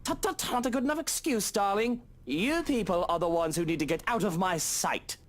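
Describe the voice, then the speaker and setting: An actor playing a mocking, arrogant, wealthy master of the house with a theatrical sarcastic drawl in a visual novel. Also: male voice, sits high, fairly quick, faint music behind, natural voice. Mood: scornful.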